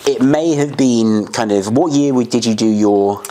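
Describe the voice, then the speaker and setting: A man's voice: medium pitch, unhurried, warm tone, low-quality recording. A man hosting a casual interview, talking in rambling, filler-heavy sentences. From United Kingdom. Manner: rambling, many fillers and restarts. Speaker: podcast host.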